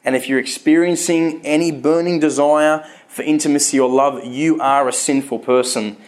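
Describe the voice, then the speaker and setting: A man's voice: on the high side, fairly even intonation, moderate pace. A man in his thirties with a stutter, giving a candid, earnest personal talk on faith to a live audience, often restarting his words.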